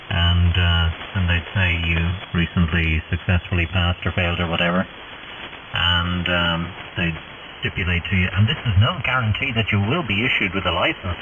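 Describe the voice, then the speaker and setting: A middle-aged man talks in a rambling, hesitant way as a radio amateur. His voice is deep and comes through a narrow shortwave link, with steady static around it. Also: recorded from a distance. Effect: shortwave radio transmission. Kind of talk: casual radio chat. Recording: muffled, narrow-band radio with clear static hiss.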